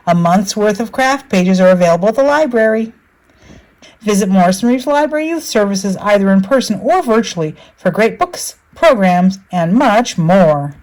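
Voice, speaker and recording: A woman's voice: medium pitch, a little mellow, low-quality recording. A woman announcer reading scripted promotional narration about community programs. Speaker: library announcer.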